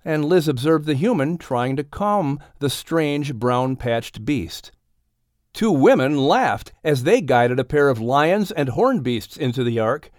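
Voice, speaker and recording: A man narrating a children's audiobook story in an expressive, scripted storytelling style. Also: mid-range voice, no room sound, studio quality.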